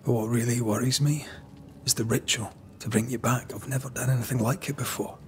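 Man acting troubled ghost hunter, earnest, sombre, plain-spoken, mid-pitched, game drama, slight background noise. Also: steady tone, fairly quick, lightly acted. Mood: pensive.

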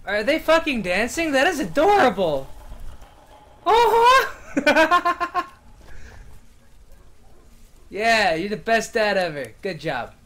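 A man playing a horror game and commenting on it, loud, excitable and sarcastic, swearing freely and reading the game's lines aloud. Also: extremely high pitch, slow pace, long pauses. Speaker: game streamer. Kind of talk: let's play commentary.

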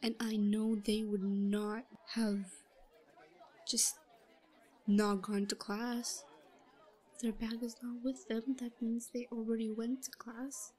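A woman performs a shy yandere schoolgirl in an audio roleplay, obsessive and quietly scheming. Her voice is fairly high and breathy, often sinking into whispers. She mutters to herself slowly and haltingly, with a faint murmur of a crowd behind her. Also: flat delivery. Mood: scheming, hushed. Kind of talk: roleplay audio drama monologue. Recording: low-quality recording with faint crowd murmur.

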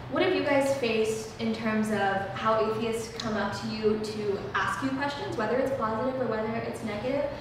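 An eighteen-year-old woman hosting a casual discussion in a church room, talking earnestly with frequent "um" fillers. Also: fairly high pitch. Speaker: host. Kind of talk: casual discussion, leading a prayer. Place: church room, small group at a table. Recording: echoey room, heard from a distance, background noise.

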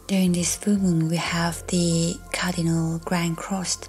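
A woman softly narrating a scripted guided meditation in a breathy, near-whispered, soothing delivery. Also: lowish voice, level tone, a little slow. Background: music.

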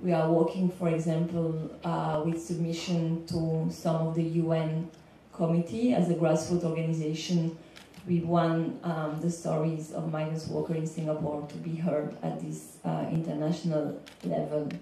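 Halting Belgian woman in an echoey room. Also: deep voice, little pitch movement, vocal fry, mellow. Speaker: legal consultant, panel speaker. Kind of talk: semi-prepared panel speech. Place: indoor lecture room panel. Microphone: handheld microphone, recorded by far camera. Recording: echoey room, distant, faint background noise.

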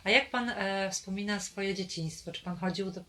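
Woman interviewer, short prompting questions, brief acknowledgements, mid-pitched. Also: moderate pace, sharp tone, slight background noise. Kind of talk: oral history interview.

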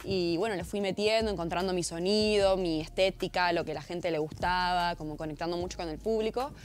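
A young woman in her twenties with an Argentine accent, a singer answering interview questions in a relaxed, chatty way. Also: fairly low pitch, a little fast. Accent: Argentine Spanish. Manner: relaxed, chatty, says "viste" a lot. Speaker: pop singer guest. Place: TV studio set.

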